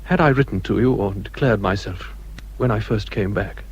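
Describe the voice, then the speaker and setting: An actor playing a proud, passionate British naval captain in love, in a period radio drama, husky and intense. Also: male voice, lowish voice, moderate pace. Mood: tender, earnest. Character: ardent naval captain suitor, proud, passionate, self-reproaching. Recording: slightly dull radio drama recording.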